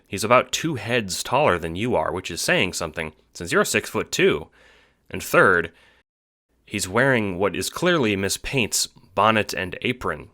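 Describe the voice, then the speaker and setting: A man giving a scripted, dramatic narration for an audio drama, with deliberate phrasing. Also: deep voice, bright, forceful tone.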